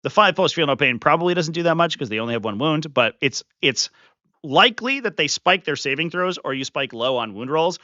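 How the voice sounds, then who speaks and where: A man's voice: fairly high pitch, expressive, fairly quick, sharp tone, no echo. A man hosting a live strategy stream, talking casually and animatedly with chuckles and fillers, sniffly from a runny nose. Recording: slightly muffled, dry sound.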